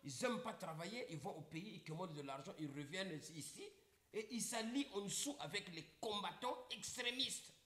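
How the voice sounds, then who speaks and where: A middle-aged Congolese man holds forth on a live stream in an emphatic, rhetorical way. His pitch sits high for a man and leaps around a lot. The room around him rings with echo. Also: expressive, fairly quick, bright, forceful tone. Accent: Congolese French. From Republic of the Congo. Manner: emphatic, rhetorical, repeats points. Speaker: livestream guest. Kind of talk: impassioned live talk. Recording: echoey room.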